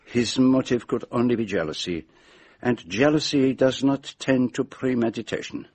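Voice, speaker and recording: An actor playing a shrewd middle-aged Belgian detective in a radio drama, with a French-speaking Belgian accent and deliberate, precise, dramatic delivery. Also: male voice, medium pitch, a little mellow, slightly rough, close to an everyday voice. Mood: accusing, deliberate.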